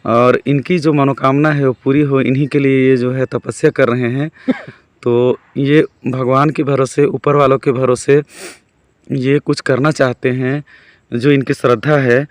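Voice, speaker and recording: A man with a Chhattisgarh Hindi accent, hoarse from a cold, chatting casually to his viewers while traveling outdoors. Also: medium pitch, flat delivery, measured pace, no echo. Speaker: vlogger. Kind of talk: casual vlog narration. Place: outdoors on a road.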